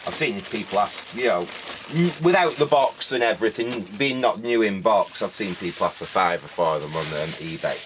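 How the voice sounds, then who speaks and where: A deep-voiced man chats about secondhand finds, rambling along with plenty of ums and "like I said". His voice sounds a little croaky, with a faint hum of ambient noise behind him. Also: lively intonation, moderate pace. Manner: rambling, repeats "like I said", many ums. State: slightly croaky voice. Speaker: vlogger showing car boot finds. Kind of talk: casual chat to camera.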